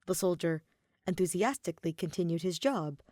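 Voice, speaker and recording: A woman reading fiction aloud as an expressive scripted storyteller. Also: lowish voice, fairly quick, no echo, clean studio sound. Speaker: podfic reader.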